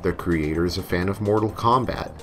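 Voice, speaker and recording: A man's voice: sits low, brisk. A man reading a scripted story as narrator in a tongue-in-cheek way, sometimes hamming up his lines. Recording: music playing behind the voice. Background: novelty songs and game music.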